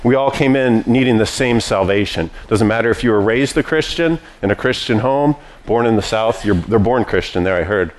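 A man's voice: lowish voice, moderate pace, hardly any pauses, slight background noise, low-quality recording. A man preaching a sermon as pastor, teaching in a conversational way with frequent restarts.

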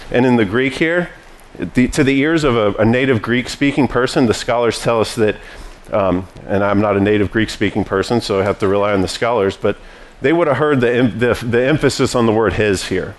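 A man preaching a sermon as pastor, teaching in a conversational way with frequent restarts. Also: mid-range voice.

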